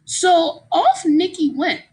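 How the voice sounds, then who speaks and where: A woman reading a children's story aloud in an expressive, encouraging teacher's manner, pausing to explain and ask her young listeners questions. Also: very high-pitched.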